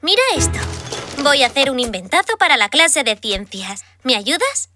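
A woman voicing an eager young cartoon puppy, excitable and expressive, speaking with a Castilian Spanish accent. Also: high-pitched, normal speed, music in the background. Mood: enthusiastic. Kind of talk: animated children's cartoon dub.

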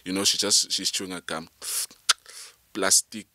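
A young man from Cameroon co-hosting a podcast conversation, probing and animated, repeating phrases for emphasis. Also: low-pitched, normal speed, no room sound. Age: young adult. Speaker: podcast co-host. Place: small indoor podcast studio. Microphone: microphone on a stand.

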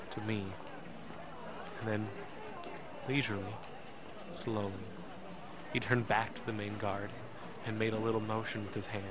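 An actor playing an earnest, emotional young man, narrating an audio drama in the first person with deliberate, dramatic pauses. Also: fairly low pitch, background noise, lightly acted. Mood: tense, dramatic. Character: earnest, emotional young man telling his escape story.

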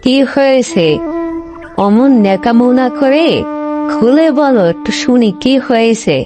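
A woman's voice: fairly high pitch, measured pace, close to an everyday voice. A woman voicing a supportive, curious village wife in an animal fable cartoon, with a homely, inquisitive way of talking. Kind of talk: cartoon voice acting. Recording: music behind the voice.

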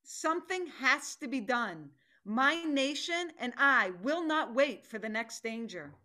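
A middle-aged woman playing a fierce, determined tiger in a dramatic play reading, speaking deliberately and with command. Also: high-pitched, expressive, bright, forceful tone, full character voice. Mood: grim resolve. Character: fierce female tiger, stern, determined hunter. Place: home room, video call.

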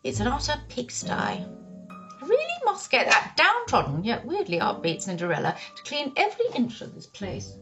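An actress playing a doting, scatterbrained, haughty pantomime stepmother, gushing and halting in a theatrical way. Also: a little high, wildly swooping pitch, fairly bright tone. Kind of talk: comic pantomime acting. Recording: music behind the voice.

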